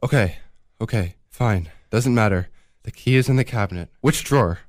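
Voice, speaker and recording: A man's voice: on the low side, normal speed, no echo, lightly acted. Man acting a defiant, guilt-ridden thief, dry sound. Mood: agitated, defiant. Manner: agitated, defiant. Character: guilt-ridden, defensive male thief, stubborn and anguished. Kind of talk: radio drama acting.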